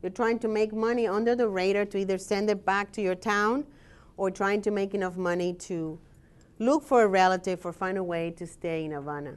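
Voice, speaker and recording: Woman academic presenting her research; steady, explanatory delivery, pauses on "um.". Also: medium pitch. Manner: measured and explanatory, with frequent "um" pauses. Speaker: scholar and researcher giving a presentation. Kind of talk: presentation talk with slides.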